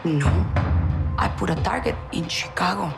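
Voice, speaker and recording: An actress playing a smug, ruthless Mexican cartel boss in a game, taunting and unrepentant, with a Mexican accent. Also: female voice, fairly low pitch, moderate pace, creaky, loud music behind the voice. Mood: smug, defiant. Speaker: actor in a military game cutscene. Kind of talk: dramatic game acting.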